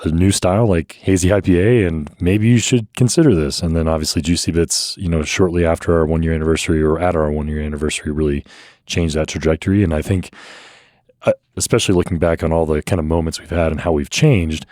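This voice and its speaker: A man speaking as a brewery owner in a casual podcast chat, easygoing and reflective, quick to laugh. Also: low voice, fairly even intonation, a little mellow, no room sound, studio quality.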